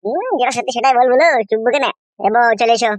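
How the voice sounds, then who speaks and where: A man's voice: extremely high pitch, quick pace, no echo. A man voicing a defiant young nephew in a comic cartoon, crude and argumentative. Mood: accusing. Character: defiant, whiny young nephew with runaway wife.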